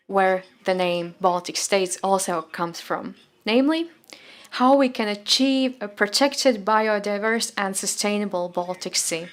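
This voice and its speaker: A woman interpreting formal press conference remarks in a steady, measured way, with the odd filler. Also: fairly high pitch.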